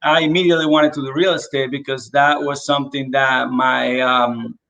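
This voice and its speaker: Animated man telling his story. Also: mid-range voice, moderate pace, gravelly. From Dominican Republic. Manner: animated storyteller with many fillers. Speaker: real estate agent, guest. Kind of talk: casual video call interview.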